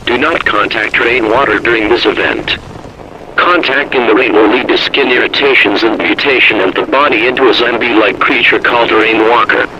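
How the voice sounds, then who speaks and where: A man's voice: mid-range voice, steady tone, moderate pace, bright, forceful tone, slight background noise, poor audio. A man delivering a scripted emergency alert in a formal, impersonal, commanding manner.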